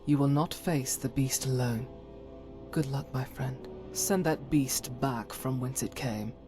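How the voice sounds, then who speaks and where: A woman's voice: rumbling low, a little slow, over music. A woman playing a devout, solemn holy warrior in a fantasy game, speaking in formal, archaic and earnest phrases. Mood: resolute. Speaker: voice actor for a game companion. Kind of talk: dramatic scripted fantasy game dialogue.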